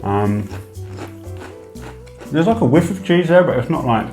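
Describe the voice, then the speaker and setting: A man's voice: on the high side, animated, a little slow, a little mellow. A man co-hosting a casual snack-tasting chat at home, hesitant and chatty with lots of ums. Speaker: co-host. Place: home room. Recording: echoey room with background music.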